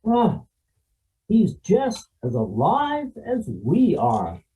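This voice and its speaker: A man's voice: high-pitched, huge pitch swings, extremely slow, dark tone, exaggerated voice. A man playing a mild, bemused nineteenth-century husband in an amateur stage play reading. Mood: grave. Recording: distant, dull lo-fi mic.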